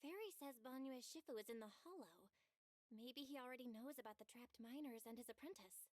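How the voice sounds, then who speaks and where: A woman's voice: sits high, brisk, darkish tone, dry recording. A woman playing an inquisitive, kind young woman in an anime-style video game. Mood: friendly, candid.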